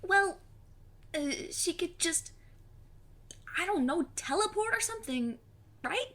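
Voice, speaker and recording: Lively, earnest, plucky teenage girl. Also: very high voice, slow, exaggerated voice. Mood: matter-of-fact. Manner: earnest, literal, matter-of-fact. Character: plucky, earnest fifteen-year-old girl, would-be witch's apprentice. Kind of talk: audio drama acting.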